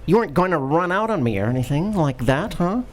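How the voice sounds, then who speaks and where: A woman's voice: low-pitched, lively intonation, a little fast, darkish tone, slight background noise. A woman voicing a menacing, suspicious male crook in a live community radio play, talking in clipped tough-guy slang.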